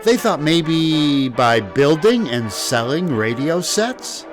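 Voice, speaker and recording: A man's voice: fairly high pitch, very animated, slow. A man narrates a scripted history in a wry, conversational storytelling way. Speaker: podcast host. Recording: music playing behind the voice. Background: background music.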